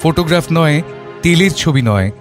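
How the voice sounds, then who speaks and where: An actor playing a reflective father who narrates his memories in a measured, literary and expressive audio story style. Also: fairly high pitch, lightly acted. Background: background music.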